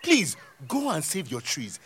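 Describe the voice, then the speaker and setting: An animated, high-voiced Nigerian community leader. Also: male voice, unhurried, husky, heavily acted. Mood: mocking. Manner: mocking, argumentative. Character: proud, sarcastic male village community leader, hot-tempered. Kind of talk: audio drama acting.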